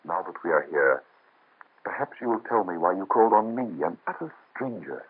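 An actor playing a kind young doctor of the Revolution, earnest and pleading, with a husky voice, in an old radio drama. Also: male voice, deep voice, lively intonation, moderate pace. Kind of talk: 1930s radio drama acting. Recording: muffled lo-fi old radio transfer.

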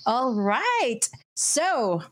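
A woman hosting a live podcast in casual chat, bubbly and quick to laugh, her voice a bit squeaky today. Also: sing-song. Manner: bubbly, laughs often, greets viewers one by one. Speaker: podcast host. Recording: live-streamed video call.

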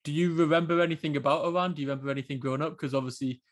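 A man's voice: a little high, steady tone, very fast. A man hosting a podcast, welcoming a guest and asking questions with many ums and uhs. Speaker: podcast host. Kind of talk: casual interview.

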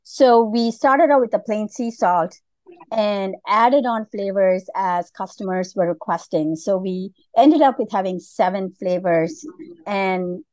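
A woman's voice: medium pitch, measured pace, a little mellow, no echo. A middle-aged woman from Malaysia, a podcast guest, talking casually with many fillers and restarts. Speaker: guest, food business founder. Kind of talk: casual interview.

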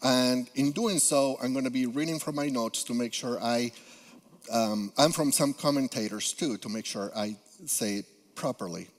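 A man's voice: mid-range voice, normal speed. A Peruvian man in his fifties with a Spanish accent, preaching earnestly as a guest speaker with frequent "uh" fillers. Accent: Spanish-accented English. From Peru. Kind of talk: sermon. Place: church sanctuary. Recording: long hall reverb.

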